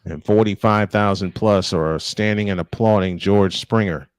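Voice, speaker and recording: A man's voice: on the low side, steady tone, moderate pace, clear, resonant tone, dry recording. A man calling baseball play-by-play live, mixing it with casual chat in a folksy, exclamatory way.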